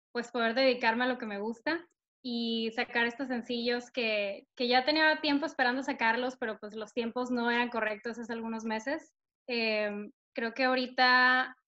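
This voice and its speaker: A woman's voice: fairly high pitch, sharp tone, lo-fi sound. A young woman from northern Mexico with a northern Mexican accent, chatting upbeat with many fillers in an interview over a video call. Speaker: singer-songwriter guest. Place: bright room at home. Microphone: laptop camera on video call.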